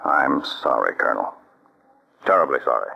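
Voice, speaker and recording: An actor playing a firm, calm counterspy chief in an old radio drama, speaking in a measured, authoritative way. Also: male voice, very deep voice, vocal fry, husky, exaggerated voice. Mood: sympathetic. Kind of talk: 1940s radio acting. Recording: dull lo-fi old radio recording.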